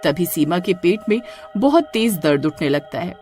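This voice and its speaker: A woman's voice: lowish voice, lively intonation, moderate pace, a little mellow, faint music behind. A woman narrating a horror-comedy cartoon story in a dramatic, suspenseful way.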